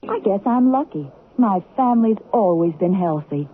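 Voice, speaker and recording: A woman's voice: medium pitch, expressive, normal speed, natural voice. A woman playing a cheerful, folksy, conversational customer in a radio advertisement. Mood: contented. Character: cheerful, folksy woman giving a family health testimonial. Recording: tinny old radio with faint noise.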